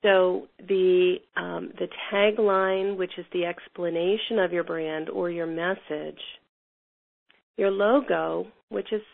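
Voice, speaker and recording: Woman teaching a class over the phone, got a cold, rambly with lots of ums, gravelly voice. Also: fairly low pitch, steady tone, takes it slowly. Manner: rambling, many fillers and restarts. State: has a cold, a little hoarse. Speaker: online course teacher. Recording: tinny phone line.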